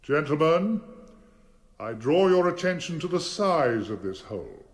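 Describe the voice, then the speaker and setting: An actor playing a formidable barrister in a courtroom radio drama, probing witnesses in a deliberate, theatrical and insistent way. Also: male voice, fairly high pitch, big pitch swings. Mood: coolly probing. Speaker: defence barrister.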